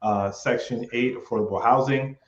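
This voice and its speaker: A man's voice: medium pitch, moderate pace. A man from New York, a real estate investor, talking casually and energetically with lots of slang as a guest on a livestream. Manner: energetic, loose, slangy and friendly. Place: home in Atlanta, echoey room. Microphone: webcam or camera mic on a video call. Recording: echoey, dull video-call audio.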